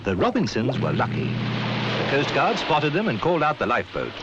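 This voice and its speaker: A man's voice: medium pitch, huge pitch swings, moderate pace. A British man narrating a 1970s public information film, telling a story in an instructive way. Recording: muffled, noisy 1970s film soundtrack.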